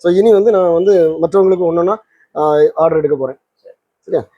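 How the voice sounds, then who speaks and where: Male demo host, brisk and explanatory, close dry sound, casual English-sprinkled delivery. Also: on the high side, mellow, no echo, dull audio. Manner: explanatory, mixes English words into his talk. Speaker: channel host and electronics maker demonstrating a kit. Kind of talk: casual product demonstration to camera.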